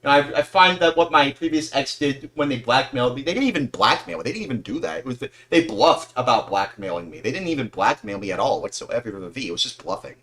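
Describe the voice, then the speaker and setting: A man in his mid-twenties talks casually on a livestream. His pitch is middling but swings around a lot. He rambles earnestly, circling back to rephrase what he just said. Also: expressive, quick pace, hardly any pauses, fairly bright tone. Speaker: livestream host.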